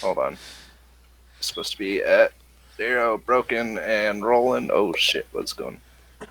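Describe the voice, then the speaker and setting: A man casually narrating a tabletop roleplaying game as game master, halting and thinking aloud in an informal way. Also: on the low side, animated, fairly bright tone, no room sound, rough recording.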